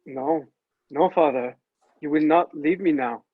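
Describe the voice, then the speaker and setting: An intense young heir to Rome, played by a man at a table read, speaking slowly and earnestly in a fairly high voice. The delivery is theatrical and impatient, on a dry recording. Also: slightly rough, no room sound, narrow, tinny audio. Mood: earnest, grieving. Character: Roman emperor's son Commodus, intense, impatient prince. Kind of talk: dramatic table-read acting.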